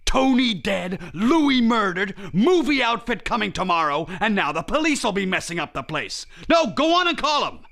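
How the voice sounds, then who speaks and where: A man playing a gruff millionaire ranch owner in a radio mystery, brusque, irritable and commanding. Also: high voice, very animated, normal speed, few pauses, sharp tone, exaggerated voice. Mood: exasperated. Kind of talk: old-time detective radio drama acting.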